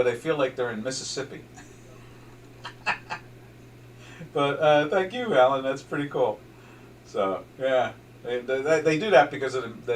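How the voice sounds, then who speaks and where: A man's voice: mid-range voice, recorded from a distance, slight background noise, low-quality recording. An older man from southern New Jersey with a South Jersey accent, chatting loosely about currency charts as host, full of fillers and restarts. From southern New Jersey, USA. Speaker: trading show host. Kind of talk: casual market commentary over charts.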